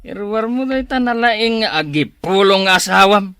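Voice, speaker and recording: A man's voice: high voice, lively intonation, unhurried, bright, forceful tone, clear, resonant tone, dry recording, studio quality, exaggerated voice. A man acting a quarrelsome village husband in a comedy drama, with a Filipino accent. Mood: angry, confrontational.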